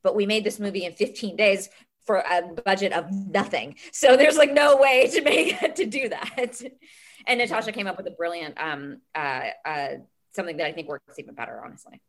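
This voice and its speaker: A woman in her thirties, a filmmaker guest chatting casually in an interview, talking in a quick, youthful way. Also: medium pitch. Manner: quick, chatty, self-described teenage way of talking.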